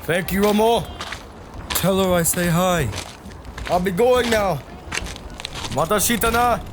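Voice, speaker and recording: Guy acting kind polite young warrior, slightly high voice, slow, noisy audio drama sound. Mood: friendly, fond. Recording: clearly noisy recording.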